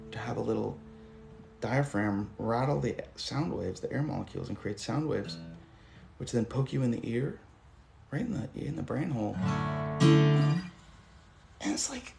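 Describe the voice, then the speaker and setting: A hoarse man in his thirties hosting a casual livestream, talking loosely and swearing now and then. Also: mid-range voice. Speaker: livestream host. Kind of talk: rambling casual livestream chat. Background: guitar music.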